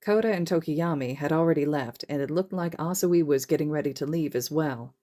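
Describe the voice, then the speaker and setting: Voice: medium pitch, a little fast, warm tone. A narrator reading scripted fiction as an audiobook-style story. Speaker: podfic narrator.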